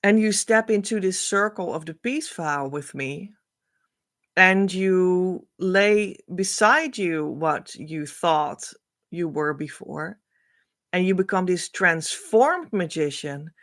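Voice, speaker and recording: A middle-aged woman whose first language is Dutch, giving an oracle card reading as a spiritual coach, speaking to camera in a slow, musing way. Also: on the low side. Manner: slow, musing, drifts between soft and louder emphasis.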